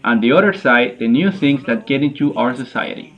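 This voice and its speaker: A man's voice: medium pitch, lively intonation, normal speed, dull audio. Hesitant man answering an interview. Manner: hesitant, searching for words. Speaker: interviewee, archaeologist. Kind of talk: answering interview questions in English.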